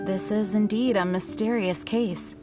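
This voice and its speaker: A woman's voice: medium pitch, steady tone, takes it slowly, music in the background, natural voice. A woman playing a poised, earnest leader in a video game, speaking formally and courteously. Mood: puzzled. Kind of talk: video game cutscene acting.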